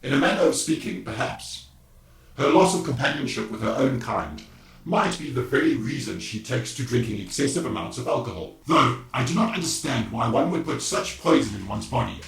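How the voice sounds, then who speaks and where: A man's voice: medium pitch, very animated, a little fast, a bit bright, a little hiss or hum. A man playing a formal, boastful robot golem with stilted, precise diction in a fantasy audio drama. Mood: detached, musing. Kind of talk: scripted audio drama acting. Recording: echoey, distant, music behind.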